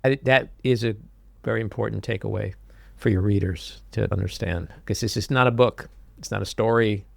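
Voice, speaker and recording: A man hosting a podcast interview, asking questions in a measured, earnest way. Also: fairly low pitch, fairly even intonation, gravelly, no echo. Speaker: podcast host. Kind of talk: interview conversation.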